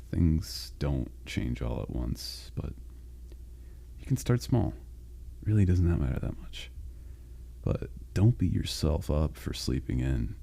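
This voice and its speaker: A man voicing a caring male sleep paralysis demon, speaking softly, with halting reassurance, in a comforting roleplay monologue. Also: exaggerated voice. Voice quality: deep gravelly growl. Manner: soft, reassuring, halting and unhurried.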